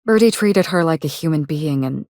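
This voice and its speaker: A woman playing a guarded, world-weary actress in a video game, speaking in a measured, dry, wry way. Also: sits low, animated, a little mellow, no room sound. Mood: wistful, subdued. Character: guarded, world-weary actress investigating her sister's murder. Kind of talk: scripted game dialogue.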